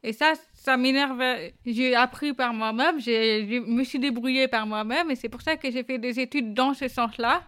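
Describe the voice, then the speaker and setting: Young woman guest, smooth clear voice, slightly high, hesitant but earnest. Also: moderate pace, hardly any pauses, fairly bright tone. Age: young adult. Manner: plain, earnest, with frequent hesitations. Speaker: guest, deaf employment adviser. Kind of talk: interview answers. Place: quiet corner at a busy job fair.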